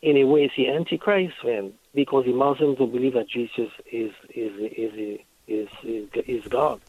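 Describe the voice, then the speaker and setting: A man from Haiti calling in to a radio show, speaking haltingly and repeating words. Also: medium pitch, steady tone, no echo. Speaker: caller. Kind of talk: phone call-in. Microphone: telephone. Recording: tinny phone line.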